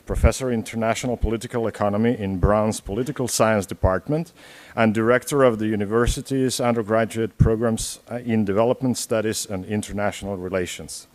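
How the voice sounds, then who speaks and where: Lowish-voiced man reading an introduction. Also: steady tone, darkish tone. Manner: measured, reading from notes. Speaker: host introducing a speaker. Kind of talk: formal introduction read aloud.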